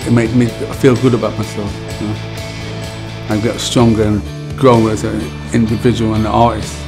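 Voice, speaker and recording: A man's voice: fairly low pitch, little pitch movement, moderate pace. A middle-aged man, talking candidly and casually in a documentary interview. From London. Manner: candid, street-casual talk. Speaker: project member, musician. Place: indoor art room. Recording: music bed underneath.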